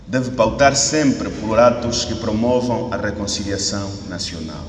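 An Angolan man in his thirties delivers a political statement from a podium, hammering home rhetorical questions and repeating lines for emphasis. His voice fills a reverberant hall, picked up by a mic at some distance, with a faint hum of the room behind him. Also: fairly low pitch. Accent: Angolan Portuguese. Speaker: opposition party spokesman. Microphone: podium mic on a stand, camera at a distance. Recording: echoey hall recording from the camera, faint ambient noise.

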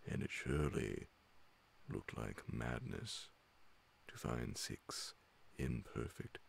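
A middle-aged man reading a horror story in a hushed, measured ASMR audiobook style, pausing often, close to a studio microphone. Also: extremely low pitch, creaky, slight background noise. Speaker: narrator reading classic horror stories. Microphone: large studio condenser microphone close beside him, headphones on.

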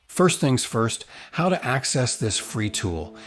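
Guy doing hyped tutorial voiceover, mid pitch, music playing behind him. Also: sing-song, takes it slowly. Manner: enthusiastic, promotional. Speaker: tutorial host. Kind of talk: upbeat scripted tutorial narration. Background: background music.

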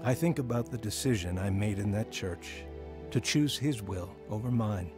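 A man's voice: lowish voice, normal speed, lightly acted. A man playing an earnest, repentant middle-aged father narrating his life story in an American radio drama. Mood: earnest, urging. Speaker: lead actor narrating his testimony. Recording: music bed under the voice.

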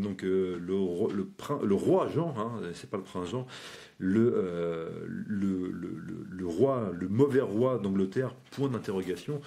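Halting man hosting with a low mellow voice. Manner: halting, filler-heavy. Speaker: podcast host. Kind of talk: casual radio talk.

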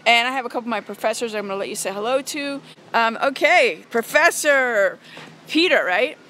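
Female American vlogger, high voice, enthusiastic and chatty, phone recording with faint noise. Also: expressive, brisk, pushed and bright. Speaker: vlogger, theology student. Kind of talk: casual chat to camera, giving a tour. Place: walking around college grounds, indoors and outdoors. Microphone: phone at arm's length.

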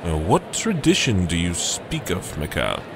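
A low-voiced earnest young captain. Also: male voice, wildly swooping pitch, vocal fry, noisy background, exaggerated voice. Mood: courteous, puzzled. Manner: formal, measured, earnest. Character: earnest young newly named captain of fantasy warriors. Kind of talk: fantasy audio drama acting.

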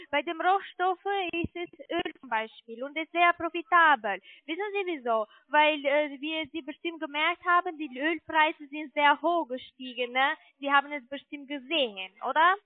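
A very high-pitched woman speaks over a dull phone line. She is a telemarketer, explaining insistently and checking that the listener agrees. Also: no echo. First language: not German. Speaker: telemarketing caller pitching investments. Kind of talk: improvised phone sales pitch. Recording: muffled narrow-band phone call.

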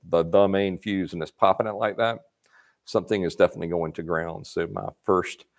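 A man's voice: low voice, darkish tone. A man, hosting a live Q&A from a workshop and giving casual, folksy repair advice. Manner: folksy, chatty, reads questions aloud and adds anecdotes. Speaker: parts company host answering viewer questions. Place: workshop garage with motorcycles, indoors.

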